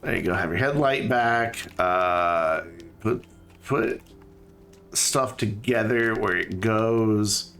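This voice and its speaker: A man's voice: lowish voice, slow, a bit bright, soft background music. A tired man with a slightly scratchy throat, streaming a game and thinking aloud in casual chat with many fillers.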